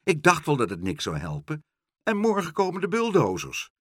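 A plump female pigeon from a children's tale, acted by a man. The voice jumps up high and swings widely as it bosses everyone about with excitable urgency. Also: moderate pace, no room sound, studio quality, full character voice. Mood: bossy, alarmed. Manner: shrill, urgent exclaiming. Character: Dolly, bossy excitable fat female pigeon.

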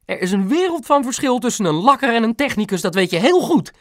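A man voicing an excitable, dramatic mother with an emphatic delivery in an audiobook. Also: high voice, normal speed, no room sound, clean studio sound, pitched above the performer's own voice. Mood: snappish. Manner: gushing, emphatic, scolding when crossed. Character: excitable, dramatic mother of a boy, proud nail technician. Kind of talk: audiobook character voice.

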